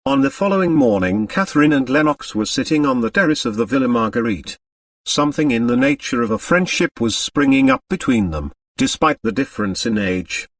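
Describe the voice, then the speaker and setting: A male audiobook narrator reads literary prose with a wide, animated pitch range. His voice sits at a middle pitch, in a dry recording slightly away from the mic. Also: brisk, no room sound, distant mic.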